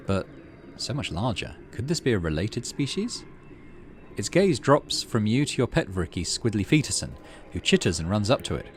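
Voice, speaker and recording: A man's voice: on the low side, a little hiss or hum. A man hosting a gaming video, mixing casual commentary with reading the game's narration aloud. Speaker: gaming channel host.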